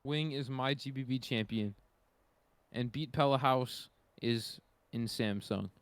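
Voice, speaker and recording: A man co-hosting a podcast in casual chat, hesitant and thinking out loud as he talks. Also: medium pitch, unhurried, no room sound. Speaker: podcast co-host.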